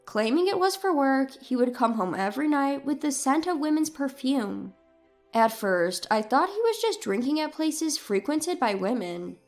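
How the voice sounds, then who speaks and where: A woman's voice: sits high, very animated, moderate pace, faint music behind. A woman reading a scripted first-person dramatic story expressively and with care, as its narrator.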